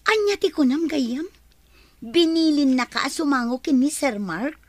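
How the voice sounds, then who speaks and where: Brief: actress as a curious, gossipy young woman with a high voice. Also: animated, moderate pace, a little hiss or hum. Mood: teasing. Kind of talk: radio drama acting.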